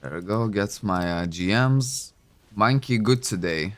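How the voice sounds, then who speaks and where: Chatty man, Lithuanian native, lowish voice. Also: animated, measured pace, fairly bright tone. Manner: chatty, thinking aloud with many fillers. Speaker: game streamer. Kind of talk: casual livestream commentary. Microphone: desk microphone.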